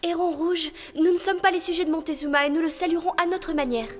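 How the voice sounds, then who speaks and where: A woman's voice: very high voice, fairly even intonation, fast, soft background music. A woman voicing a brave, noble young princess in a cartoon dub, speaking earnestly and solemnly. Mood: firm. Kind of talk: French cartoon dubbing.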